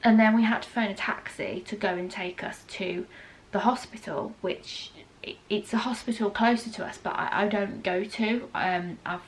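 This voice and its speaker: A woman's voice: medium pitch, steady tone, moderate pace, hardly any pauses, a little hiss or hum, low-quality recording. A British woman in her thirties with a cold, chatting casually to camera and telling a story with lots of ums and asides. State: congested with a cold. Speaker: vlogger.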